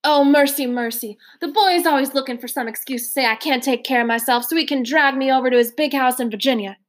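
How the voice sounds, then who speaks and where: A woman voicing a frail, gentle old man who talks in a polite, fussy, genteel way. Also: high-pitched, fast, lightly acted. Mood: courtly.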